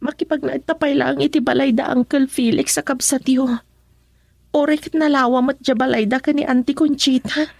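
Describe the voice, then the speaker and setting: A sweet devoted daughter in a radio drama, really high voice, ilocano accent, dry close sound. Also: female voice, steady tone, moderate pace, creaky, a little mellow, no room sound. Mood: pleading, gentle. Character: young grown daughter, gentle and devoted to her elderly father.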